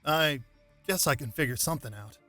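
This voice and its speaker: A protective young superhero , played by a man reading aloud, talks in a casual, streetwise, wary way. His voice is bright, with light music behind it. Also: fairly high pitch, brisk. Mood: hesitant.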